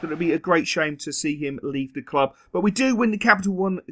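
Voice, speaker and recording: Man, young, high voice, emphatic, football game commentary, faint background hiss. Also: animated, a little fast, sharp tone. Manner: emphatic, repeats words for stress. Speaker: gaming video host. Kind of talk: gameplay commentary.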